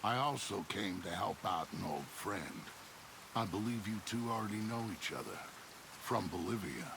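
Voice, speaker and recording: An actor playing a sly, evasive male covert operative with a gravelly, cagey way of talking in a video game cutscene. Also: fairly low pitch, animated, normal speed. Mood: sly, guarded. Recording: hiss and rain behind voice.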